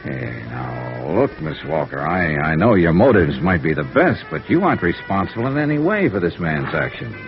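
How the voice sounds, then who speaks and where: An actor playing a worldly, soft-hearted insurance investigator in clipped, wry 1950s radio noir style. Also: male voice, deep voice, very animated, normal speed, vocal fry, few pauses, exaggerated voice. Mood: gentle, concerned. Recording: dull vintage radio recording, faint music.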